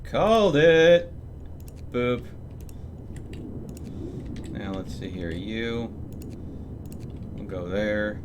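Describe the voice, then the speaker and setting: A man's voice: fairly low pitch, expressive, drawn-out words, long pauses, background noise. A man doing casual live game commentary, thinking aloud to himself as he plays. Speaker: game streamer.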